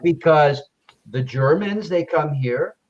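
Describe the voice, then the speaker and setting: A man's voice: fairly high pitch, slow. A man tutoring English in casual conversation, encouraging and repeating phrases for emphasis over a video call. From Canada. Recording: dull lo-fi video call audio.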